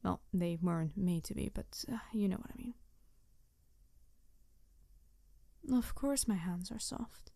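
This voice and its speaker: A woman playing a snarky, passionate supervillain who is secretly tender, in a halting, sardonic ASMR audio roleplay. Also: medium pitch, vocal fry, dark tone, dry recording, pitched below the performer's own voice. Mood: soft, teasing. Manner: halting, sighing, emotionally candid, sardonic.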